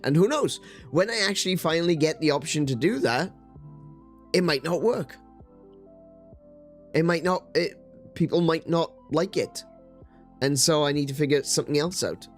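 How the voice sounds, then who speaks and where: A man hosts a live stream from his desk, his voice slightly croaky and strained. He talks in a rambling, easygoing way with lots of pauses and restarts, and faint music plays behind him. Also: on the high side. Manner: rambling, hesitant, many fillers and restarts. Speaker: streamer host. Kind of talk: casual live-stream chat.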